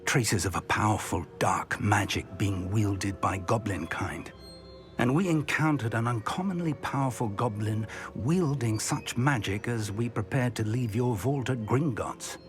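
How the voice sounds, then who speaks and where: An older actor playing an earnest, courteous wizard professor and mentor in a video game. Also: male voice, on the low side, measured pace, lightly acted. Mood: urgent concern. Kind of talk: video game cutscene acting. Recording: music behind.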